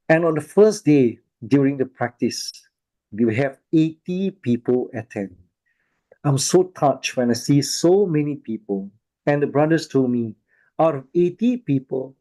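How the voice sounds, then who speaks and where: A man with a Malaysian accent speaks as a volunteer at an informal talk, sharing personal anecdotes. His voice is mellow and relaxed, at a middling pitch. His phrasing is halting and often circles back to repeat itself. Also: poor audio. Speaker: volunteer speaker at an online Buddhist charity talk.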